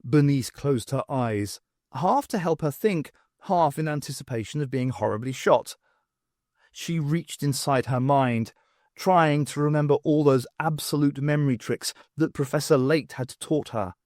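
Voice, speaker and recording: An audiobook reader giving a slow, expressive narration of a science-fiction novel. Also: medium pitch, no room sound, studio quality.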